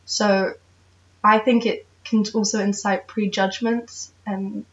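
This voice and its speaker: A woman's voice: medium pitch, flat delivery, takes it slowly. A woman from Singapore giving earnest, reflective answers in an interview. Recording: roomy, distant, muffled recording.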